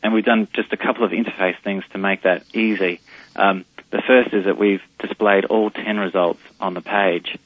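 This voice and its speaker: A man's voice: fairly low pitch, fairly even intonation, normal speed, husky, no echo. A man with an Australian accent, a company CEO interviewed over the phone on a radio show, talking in a hesitant way with many fillers and restarts. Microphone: telephone line. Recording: muffled lo-fi phone line.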